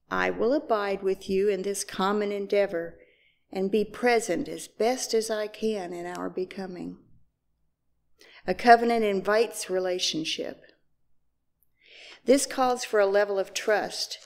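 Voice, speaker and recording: A woman reads liturgy aloud as a worship associate. Her delivery is measured and solemn, very slow, with noticeable vocal fry in a mid-pitched voice. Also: a little mellow.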